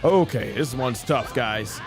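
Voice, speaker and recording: A man's voice: medium pitch, takes it slowly. A man giving casual live commentary as a gaming streamer. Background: game music.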